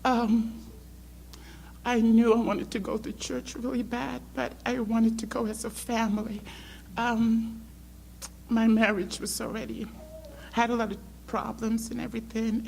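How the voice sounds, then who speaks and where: A woman's voice: on the high side, level tone, unhurried, gravelly, darkish tone, poor audio. A middle-aged woman from South America, raised in the Netherlands, giving a hesitant personal testimony with many ums. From born in South America, grew up in the Netherlands. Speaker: church member sharing testimony. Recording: faint background hum.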